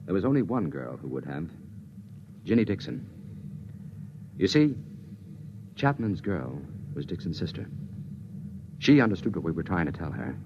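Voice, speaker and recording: An actor playing a grave, commanding space captain in a 1950s radio drama. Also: male voice, low voice, big pitch swings, normal speed, long pauses. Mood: solemn, confessing. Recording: noisy, dull 1950s radio recording.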